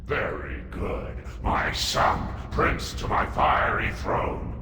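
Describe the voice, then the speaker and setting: A man's voice: lowish voice, big pitch swings, slow pace, a crowd in the background, exaggerated voice. An actor playing an imprisoned demon lord, a fiery, gloating father figure in a video game, grandiose and savoring each word. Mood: hungry, urging. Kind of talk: dramatic video game acting. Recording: echoing voice in noisy ambience.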